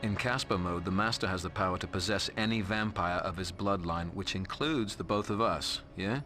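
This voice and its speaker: A man's voice: low-pitched, normal speed, sharp tone, faint music behind. An actor playing a sardonic, cocky male vampire with a British accent, speaking in a sneering, slangy, mocking way. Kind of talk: video game voice acting.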